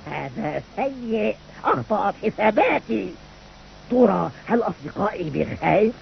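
A woman voicing a cheeky, scheming small animal in a dubbed cartoon, with a creaky, squeezed voice. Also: medium pitch, sing-song, normal speed. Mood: worried. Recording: muffled old cartoon dub, faint noise.

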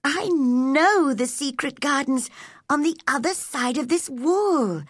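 A woman's voice: high-pitched, wildly swooping pitch, measured pace, dry recording, clean studio sound, voice pushed up, full character voice. A woman voicing a lonely young girl in a storybook, earnest and eager. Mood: excited.

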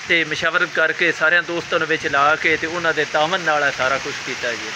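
A man's voice: fairly high pitch, steady tone, noisy background. An older man with a Punjabi accent, answering an interviewer's questions outdoors in plain, polite speech. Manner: plain, unhurried storytelling with polite "ji". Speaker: interviewee, village elder. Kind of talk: casual interview. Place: outdoors in a village. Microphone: phone held by interviewer.

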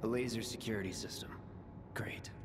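An actor playing a cool, aloof young mercenary swordsman in a video game, speaking tersely and deadpan. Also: lowish voice, normal speed, long reverb tail, noisy background, lightly acted. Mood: matter-of-fact. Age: young adult. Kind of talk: dramatic video game voice acting.

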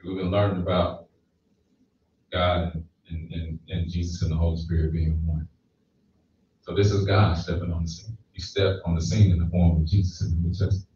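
A man in his thirties preaches in a conversational way, often asking the room "right?". His voice is slightly low and mellow. It is heard from a distance in a very echoey hall, on a somewhat dull recording with faint background noise. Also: little pitch movement, moderate pace. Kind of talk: sermon. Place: small church hall with stage. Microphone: handheld mic.

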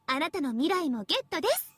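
A woman's voice: high voice, sing-song, fast. A woman voicing a smug, teasing, villainous young schoolgirl in a Japanese mobile game, with a cutesy, theatrical manner. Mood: playful, teasing.

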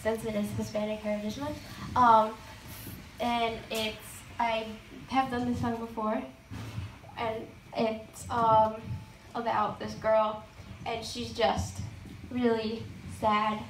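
Young woman, guest singer, hesitant with fillers, somewhat high voice, echoey small room. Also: level tone, takes it slowly, a little hiss or hum. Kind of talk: casual introduction. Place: small room with brick walls and blinds, indoors. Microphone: handheld mic. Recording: echoey room from a far camera.

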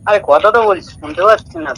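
A man's voice: sits high, fast, a bit bright. A man chatting casually on a patchy phone call with heavy noise. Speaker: prank call target. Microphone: phone line on speaker.

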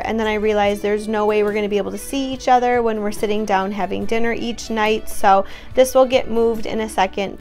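A woman's voice: medium pitch, a little mellow. A young adult woman narrating a home cleaning vlog in a casual, upbeat voice-over, talking straight to her viewers. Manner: upbeat, chatty, addresses viewers directly. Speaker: home vlogger.

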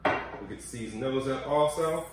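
A man's voice: medium pitch, animated, measured pace, background noise. A man in his forties hosting a casual cooking demonstration in a home kitchen, chatty and coaching as he gives health tips. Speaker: cooking show host. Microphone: far camera mic. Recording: echoey kitchen from far off.

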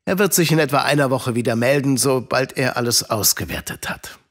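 A man's voice: mid-range voice, brisk, lightly acted. A man playing a kindly, patient grown-up storyteller explaining things to children in an audio drama.

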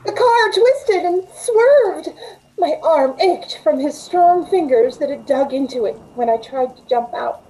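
A woman's voice: high-pitched, expressive, measured pace, noisy background. A woman playing a frightened, pleading young woman in an old-time radio suspense drama, with an anxious, dramatic delivery. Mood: tense narrating. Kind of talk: old-time radio suspense acting, first-person narration. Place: indoors at home on a video call.

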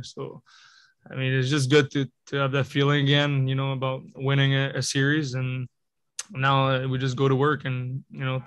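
A man in his twenties, a hockey player answering questions at a postgame press conference, halting and filling his speech with "you know" and "I mean". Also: medium pitch, fairly bright tone, smooth, no echo. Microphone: table mic in front of him.

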